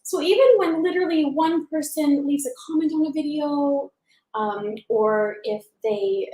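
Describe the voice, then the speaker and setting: A young woman from Seattle co-hosting a casual live-stream chat at home, upbeat and eager, quick to agree. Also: sits high, lively intonation, warm tone, roomy sound, rough recording. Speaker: co-host of a couple's channel.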